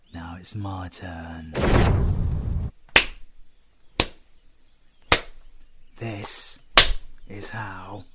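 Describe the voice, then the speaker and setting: A man's voice: deep voice, very noisy. A British man playing a cocky, sarcastic, menacing supervillain in an audio roleplay. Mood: cold, threatening. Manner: sarcastic, theatrical, foul-mouthed British slang. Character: cocky, taunting supervillain, flippant yet menacing killer. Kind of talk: ASMR audio roleplay acting.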